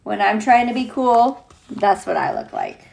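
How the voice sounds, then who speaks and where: A woman's voice: medium pitch, huge pitch swings, a little slow, vocal fry, a little mellow, rough recording. A woman teacher reading a picture book aloud to children, chatting playfully and putting questions to her young listeners. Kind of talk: children's read-aloud with casual asides to young viewers.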